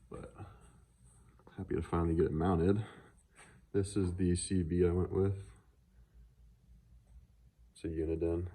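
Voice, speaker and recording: A man's voice: sits low, warm tone, slightly rough. A man talking casually and off the cuff in a laid-back vlog about his vehicle project. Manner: laid-back, offhand, unscripted. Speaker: car vlogger showing truck modifications. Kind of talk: casual handheld vlog chat.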